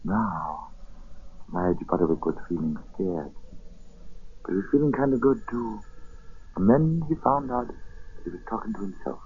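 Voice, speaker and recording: A man narrating a colonial tale in a 1940s radio drama as a folksy, deliberate and suspenseful storyteller. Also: sits low, lively intonation, a little mellow, husky, full character voice. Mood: wry, unhurried. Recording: muffled, hissy 1940s radio recording.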